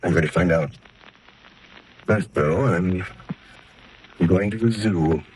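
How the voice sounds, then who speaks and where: An actor playing a calm, determined gentleman crime-fighter who speaks in a measured, steady way in the style of 1930s radio drama. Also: low-pitched, a little hiss or hum, low-quality recording.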